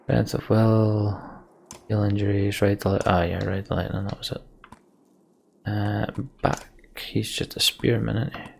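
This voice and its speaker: A man's voice: fairly low pitch, flat delivery, measured pace, creaky, pauses often, a little mellow. A man giving casual video game commentary, thinking aloud in murmured asides to himself. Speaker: video game player, let's play host. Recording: background noise, clicking and keys.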